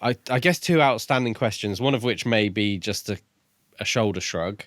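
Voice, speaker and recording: Low-voiced man in casual discussion. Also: moderate pace, fairly bright tone. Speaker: podcast co-host. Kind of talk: casual book discussion chat.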